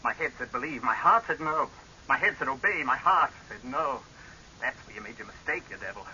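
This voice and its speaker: A man's voice: medium pitch, lively intonation, fairly quick, pushed and bright, lo-fi sound. An actor playing a bitter, vengeful citizen turned on his tyrant, delivered with melodramatic intensity in a 1930s radio horror drama with a hissy recording. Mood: bitter, impassioned.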